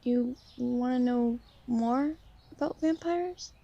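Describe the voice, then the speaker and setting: A woman playing a shy, gentle young vampire in an ASMR roleplay, speaking softly and hesitantly to the listener. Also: on the high side, gravelly, dull audio, heavily acted. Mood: calm, explaining. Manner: hesitant, soft-spoken, gently halting. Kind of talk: ASMR roleplay, soft intimate talk to the listener.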